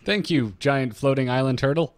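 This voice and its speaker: A man's voice: mid-range voice, moderate pace, no room sound. A man co-hosting a podcast, recapping game lore from notes in a casual, bantering way with jokey asides. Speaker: podcast co-host.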